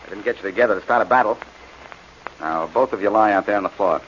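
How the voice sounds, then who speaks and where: A man's voice: on the low side, quick pace, a bit bright, lightly acted. A man acting a wry, cool-headed, take-charge amateur detective in 1940s radio style. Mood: commanding. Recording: muffled noisy 1940s radio recording.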